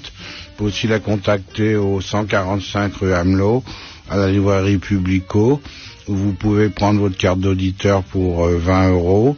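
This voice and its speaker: A male radio host speaks formally in a low, flat monotone, steadily reading out announcements and questions. The broadcast sounds dull, with faint music underneath. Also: breathy edge. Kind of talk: radio interview.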